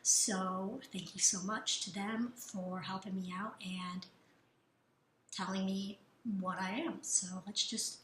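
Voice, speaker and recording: A woman's voice: medium pitch, steady tone, slow pace, fairly bright tone, a little hiss or hum, low-quality recording. A woman talking casually to camera in a vlog, loose and chatty with lots of "like" and "um". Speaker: vlogger.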